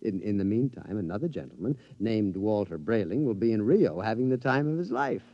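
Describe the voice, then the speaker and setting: An actor playing a henpecked husband with a gleeful secret scheme in an old radio drama, excitable, stammering and conspiratorial. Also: male voice, fairly low pitch, brisk, no room sound. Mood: smug, delighted. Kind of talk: mid-century radio drama acting. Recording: dull, noisy vintage radio recording.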